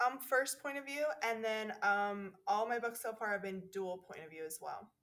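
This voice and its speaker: A woman's voice: medium pitch, moderate pace. A woman author chatting as a podcast guest, laughing often and talking with many fillers and restarts. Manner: giggly, animated, many fillers. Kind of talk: casual podcast chat.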